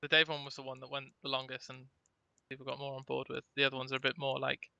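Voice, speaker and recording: A man's voice: medium pitch, monotone, fast talker, creaky, sharp tone, smooth, no echo, muffled recording. A man co-hosting a podcast in casual chat, talking in an informal, rambling, anecdotal way. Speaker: podcast co-host.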